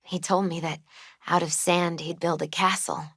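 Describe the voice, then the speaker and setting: Soft wistful young woman from a video game, lowish voice, kinda hesitant and grieving, really dry close sound. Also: flat delivery, normal speed, no echo. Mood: fond, reminiscing. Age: 20s. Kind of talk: naturalistic video game dialogue acting.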